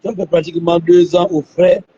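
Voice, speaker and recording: A man hosting a live stream from an airport on his phone, hoarse and coughing from a flu, repeating himself to his viewers. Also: high-pitched, level tone, brisk, mellow, a little hiss or hum. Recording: muffled phone audio.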